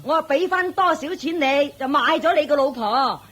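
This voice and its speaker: An actress playing a scornful, bossy middle-aged mother-in-law in a radio drama, with a Hong Kong Cantonese accent and a sharp, sneering delivery. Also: high-pitched, expressive, normal speed. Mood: scornful, domineering.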